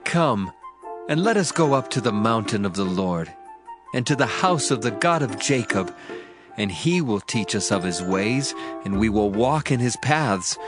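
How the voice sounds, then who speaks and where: A man's voice: fairly low pitch, expressive, unhurried. An actor playing a reverent man of the nations, solemnly exhorting others to worship in a dramatized scripture reading. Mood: reverent, inviting. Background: music.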